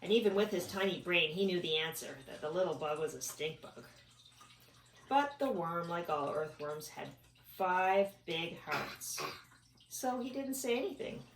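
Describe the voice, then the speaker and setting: Animated woman reading a storybook in an echoey room. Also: lowish voice, unhurried. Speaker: parent reading to child. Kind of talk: expressive storybook narration with casual chat. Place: at home. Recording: echoey room, distant mic, background noise.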